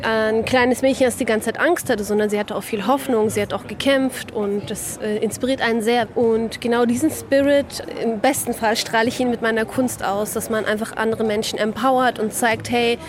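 A woman's voice: on the high side, normal speed, crowd noise. Young woman chatting about her art. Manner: lively, with fillers. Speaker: interviewed poet. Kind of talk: casual interview answer.

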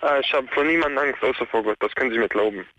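A man's voice: mid-range voice, rapid-fire, pushed and bright, no room sound. A man from Saxony-Anhalt in Germany calling a radio show, giving his opinion casually over a lo-fi phone line. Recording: dull lo-fi phone call.

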